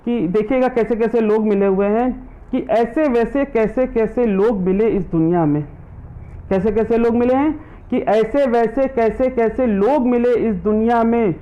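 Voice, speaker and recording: Brief: a high-voiced man, a poet and teacher, reading humorous couplets live and greeting viewers between them. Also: a little slow, muffled recording. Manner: repeats each verse line, chants devotional verses. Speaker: government teacher. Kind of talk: live online poetry recitation.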